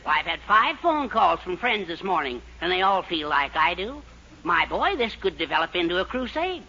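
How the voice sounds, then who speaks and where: An actor playing a middle-aged henpecked husband, florid and grandiose, in a 1940s radio sitcom. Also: male voice, high voice, very animated, moderate pace, bright, forceful tone, full character voice. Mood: proud, grandiose. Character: henpecked husband, grandiose, gushing and timidly brave. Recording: very noisy, muffled 1940s radio recording.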